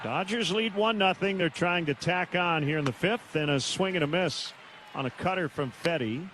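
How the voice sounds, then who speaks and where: A man's voice: on the high side, normal speed, a bit bright. A man doing live baseball play-by-play as a radio announcer from a stadium broadcast booth. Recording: clear noise behind the voice. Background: outdoor ambient stadium noise.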